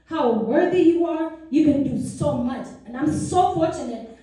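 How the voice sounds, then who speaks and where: A woman's voice: high-pitched, lively intonation, measured pace, dark tone, heavy reverb, far from the mic. A young woman from South Sudan telling her personal story to a meeting, earnest and chatty with many asides. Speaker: speaker. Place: meeting room.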